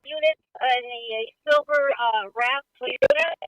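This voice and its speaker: A woman heard over a radio or phone link, giving halting live updates on a suspect's location. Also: high voice, wildly swooping pitch, no room sound, muffled recording. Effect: phone or radio transmission.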